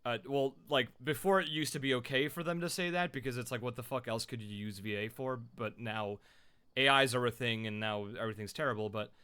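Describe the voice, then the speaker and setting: A man who is a voice actor and streamer, chatting casually and loudly on his live stream with lots of fillers. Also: medium pitch, steady tone, a little fast, smooth. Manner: loud, chatty, rambling with fillers.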